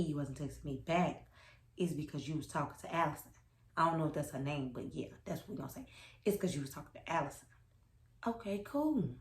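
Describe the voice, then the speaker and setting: A young woman with a low voice chats casually as a storytime vlogger. She is sassy and emphatic, often repeating herself to make a point. The room around her adds a noticeable echo. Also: a little mellow. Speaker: vlogger telling a personal story. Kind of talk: casual storytime chat to camera. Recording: roomy echo.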